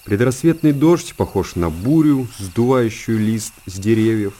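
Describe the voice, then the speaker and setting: A man's voice: on the low side, a little slow, dark tone, lightly acted. A man playing a contemplative hermit monk who lives in seclusion, delivering a literary radio drama monologue. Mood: wistful. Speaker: narrator in a radio play.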